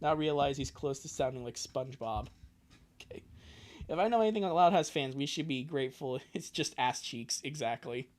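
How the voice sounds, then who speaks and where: Guy streaming, casual and rambly, stumbles over words, jokes around, pitch moves a lot. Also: expressive, brisk. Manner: rambling, stammering, jokey asides. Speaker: livestreamer and amateur voice impressionist. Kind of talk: casual livestream chat and reading aloud.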